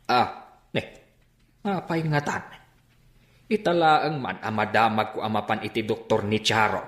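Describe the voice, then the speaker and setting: A man's voice: fairly low pitch, unhurried, a bit bright, echoey room. A man playing a puzzled, inquisitive older father in a radio drama.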